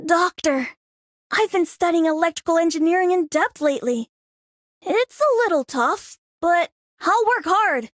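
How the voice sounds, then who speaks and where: A woman's voice: very high-pitched, lively intonation, measured pace, dry recording, studio quality. A woman voicing an earnest, bashful young girl in a game, eager and polite. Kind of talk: scripted game character voice line.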